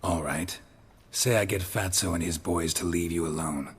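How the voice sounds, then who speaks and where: An actor playing a stoic, gruff monster hunter in a video game, speaking in a terse, deadpan way. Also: male voice, sits low, normal speed, long reverb tail, full character voice. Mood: dry, businesslike.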